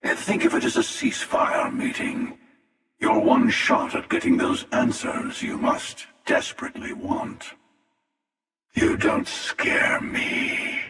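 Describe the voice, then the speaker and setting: An actor playing a cynical, menacing, world-weary interdimensional hunter in a video game, with a gravelly, sardonic delivery. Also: male voice, very high-pitched, sing-song, sharp tone, soft background music, heavily acted. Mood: cool, threatening. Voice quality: gravelly, creaky. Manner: slow, sardonic, taunting. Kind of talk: video game character voice lines. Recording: cavernous reverb, distant-sounding voice.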